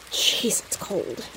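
A woman playing an earnest, methodical teenage girl sleuth in a naturalistic audio drama, hushed and a little nervy. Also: background noise. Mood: shy.

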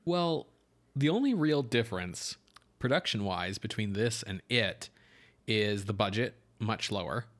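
A man co-hosting a film podcast, explaining things in a measured way as he moves between prepared notes and discussion. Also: lowish voice, animated. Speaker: podcast co-host.

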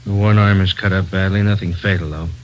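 Man playing low-voiced, calm hospital doctor. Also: fairly quick, lightly acted. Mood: calm, concerned. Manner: low-key, cautioning. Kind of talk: 1940s radio drama acting. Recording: muffled old radio recording with hiss.

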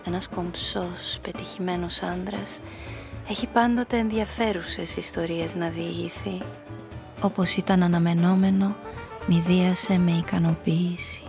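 A woman's voice: fairly low pitch, level tone, creaky, music in the background. An actress playing a cool, calculating nightclub hostess, telling her story and speaking her lines in a composed, knowing radio drama style. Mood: coyly flirtatious. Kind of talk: first-person noir radio play narration and dialogue.

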